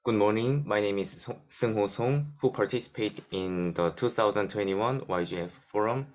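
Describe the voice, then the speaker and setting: A Korean man in his twenties with a Korean accent, giving a prepared presentation in English with frequent uh fillers. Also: on the low side. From South Korea. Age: 20s. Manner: reads slowly with frequent uh fillers. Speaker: student forum presenter.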